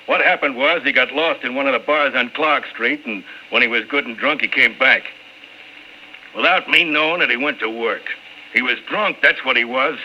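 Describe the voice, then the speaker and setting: A male actor playing a gruff, weary, defensive building engineer in an old-time radio drama. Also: medium pitch, close to an everyday voice. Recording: muffled, faintly noisy old radio recording.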